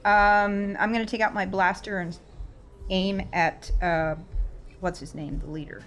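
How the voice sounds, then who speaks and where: A woman's voice: mid-range voice, steady tone, unhurried, fairly bright tone. A woman talking casually as a player in a tabletop game, stating her moves.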